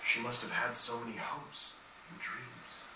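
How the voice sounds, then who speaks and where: A man playing a gentle, melancholy dying composer in a fantasy game, speaking in a reflective, earnest, measured way with formal phrasing. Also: medium pitch, little pitch movement, lightly acted. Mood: anguished grief. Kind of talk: dramatic game cutscene dialogue. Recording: distant, echoey, noisy game capture.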